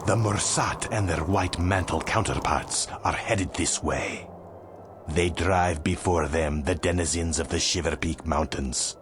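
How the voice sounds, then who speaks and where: A man playing a solemn, commanding sorcerer in a fantasy game cutscene, speaking in a formal, measured, portentous way. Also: low-pitched, noisy background. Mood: grave, commanding. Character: solemn, commanding male sorcerer guiding a chosen hero.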